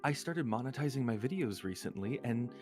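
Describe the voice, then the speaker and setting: A man's voice: medium pitch, lively intonation, brisk, darkish tone, faint music behind. A man chatting casually as a guest on a stream, easygoing and a little hesitant in how he talks. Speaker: stream guest, ASMR creator and artist.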